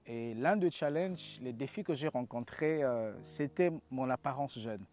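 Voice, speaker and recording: Young Congolese pastor giving testimony. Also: male voice, medium pitch, unhurried, music in the background. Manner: earnest, preacherly, with hesitant restarts. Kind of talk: personal testimony talk.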